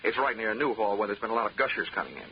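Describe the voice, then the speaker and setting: An American actor playing a wry, hard-boiled investigator in a 1940s radio drama, full of dry wisecracks. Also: male voice, fairly low pitch, very animated, rapid-fire, a little hiss or hum. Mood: smooth pitch. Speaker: detective narrator and lead character. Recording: dull 1940s radio recording with music.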